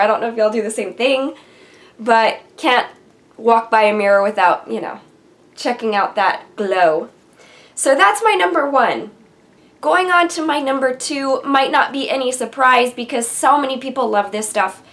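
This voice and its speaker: A woman's voice: a little high, fairly bright tone. Chatty woman with a stuffy cold. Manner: chatty and enthusiastic, many ums. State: nasal from a cold. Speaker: beauty vlogger. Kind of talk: casual product review to camera. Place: bedroom with vanity and shelves, indoors.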